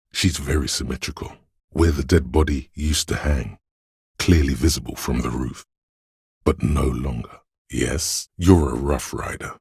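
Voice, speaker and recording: A man playing a detective's analytical inner voice in a video game, speaking with grand, deliberate precision and a deep, gravelly, husky tone. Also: darkish tone, no room sound, clean studio sound, heavily acted. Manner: deliberate, precise, portentous, theatrical. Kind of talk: dramatic video game voice acting, measured narration.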